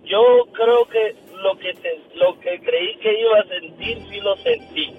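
A man's voice: high-pitched, a little slow, soft background music. A young man with a Mexican accent calling into a radio show, eager and laughing as he talks. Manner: eager, laughing, repeats the host's name. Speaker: caller. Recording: dull phone-call audio with faint noise.

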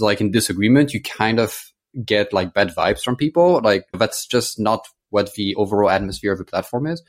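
A man in his thirties from France talks casually and at length as a guest in a conversation, growing animated as he tells stories. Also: on the low side. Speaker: interview guest.

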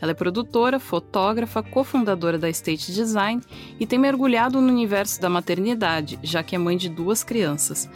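A woman co-hosting a podcast, introducing the guest in a measured, gracious way. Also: medium pitch, lively intonation, faint music behind. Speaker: podcast co-host.

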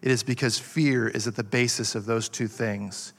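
A man's voice: mid-range voice, steady tone, a bit bright. Impassioned man in his forties preaching. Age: 40s. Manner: impassioned, halting restarts with frequent fillers. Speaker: pastor. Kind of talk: sermon.

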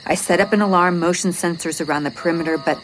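A woman's voice: on the low side, fast, a little hiss or hum, lightly acted, low-quality recording. An actress playing a stressed, weary space mission officer who is protective of her crew, in an audio drama. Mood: anxious, strained. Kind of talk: audio drama acting, logged report monologue.

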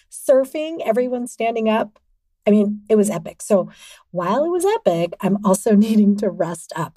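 A woman hosting her own podcast, talking alone in an upbeat, chatty way, with a slightly raspy voice and frequent laughs. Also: mid-range voice, dark tone, dry recording. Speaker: podcast host. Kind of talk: solo podcast monologue.

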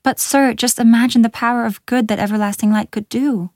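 A woman's voice: medium pitch, moderate pace, mellow, no echo, clean studio sound, natural voice. A woman voicing a curious, earnest young female inventor in a storybook, eager and formal in her speech. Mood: pleading.

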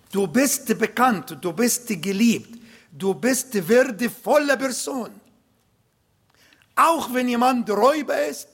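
A man preaching a sermon in German with an Arabic accent, non-native grammar and emphatic, slowly built phrases. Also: high-pitched. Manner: emphatic, slow, swells louder on key points.

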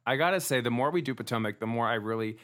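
A man co-hosts a casual podcast and recaps a reality show episode. He talks in a chatty, loose way, full of fillers and false starts. His voice sits at an ordinary middle pitch. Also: fairly bright tone. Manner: chatty, fast, fillers and repeated restarts. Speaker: podcast co-host.

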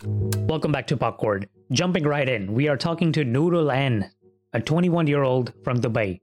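A man's voice: medium pitch, expressive, normal speed, darkish tone, soft background music. A man hosting an interview podcast. He reads a scripted intro, then asks friendly conversational questions. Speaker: podcast host.

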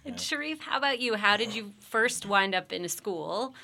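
A woman's voice: mid-range voice, lively intonation, moderate pace, gravelly, bright, forceful tone. A woman hosting a conversational interview and putting questions to her guests. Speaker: interviewer, host.

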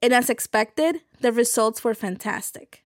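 A woman's voice: a little high, a little slow, dry recording, studio quality. A woman hosting a podcast, reading scripted narration. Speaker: podcast host. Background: music bed.

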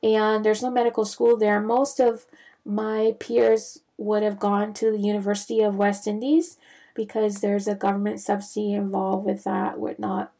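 A young woman from the Bahamas, a physician, telling her career story in a reflective, measured way with frequent fillers. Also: medium pitch, flat delivery, gravelly, warm tone. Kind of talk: casual personal storytelling.

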